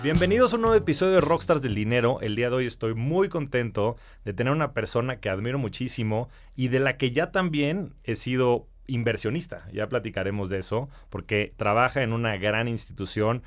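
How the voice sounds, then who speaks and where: A man's voice: medium pitch, expressive, brisk, hardly any pauses, faint music behind. A man hosting a podcast, upbeat in his introductions and admiring toward his guest. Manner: upbeat promo, then warm admiring welcome. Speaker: podcast host. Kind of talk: enthusiastic podcast intro and interview.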